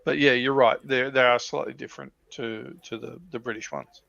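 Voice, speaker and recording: A man's voice: medium pitch, steady tone, moderate pace, creaky, bright, forceful tone, no echo, lo-fi sound. An Australian man chatting casually on a hobby livestream about military vehicles.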